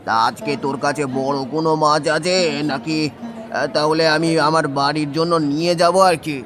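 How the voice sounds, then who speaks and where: Cartoon village customer, male actor: fairly high voice, casual, bargaining, music behind. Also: flat delivery, normal speed, fairly bright tone, natural voice. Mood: familiar. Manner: familiar, bargaining, talks down casually. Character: familiar village customer, a haggling gentleman. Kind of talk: cartoon voice acting in Bengali village story.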